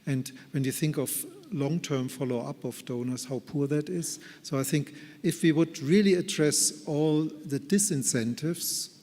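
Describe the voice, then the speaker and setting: A man with a somewhat high voice responds to questions at a conference. He speaks earnestly and deliberately, weighing his phrasing, and his voice carries the long reverberation of a large hall. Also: warm tone. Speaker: plenary speaker answering audience questions. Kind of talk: conference question-and-answer discussion.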